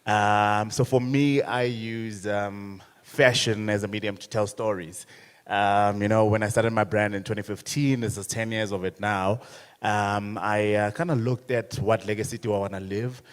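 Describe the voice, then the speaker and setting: Male panelist, lowish voice, loose and unhurried with lots of ums, long hall reverb. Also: smooth. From South Africa. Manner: relaxed, filler-heavy. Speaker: panelist, fashion designer. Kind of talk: panel introduction. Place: conference hall.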